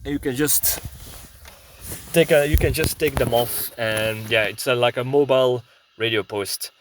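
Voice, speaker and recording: A man's voice: medium pitch, moderate pace, noisy background. A man with a Belgian accent talks casually in a rambling vlog style, hesitating and searching for words. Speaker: prepper video blogger. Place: inside a parked car.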